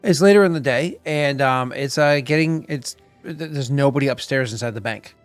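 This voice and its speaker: A man's voice: mid-range voice, moderate pace, soft background music. A man running a tabletop game as game master, rambling with many fillers and restarts on a home microphone.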